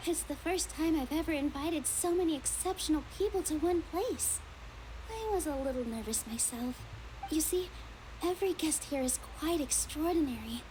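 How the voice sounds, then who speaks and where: A woman voicing a sweet, gracious young dancer in a video game, polite, gentle and earnest. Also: high voice, a little hiss or hum, poor audio.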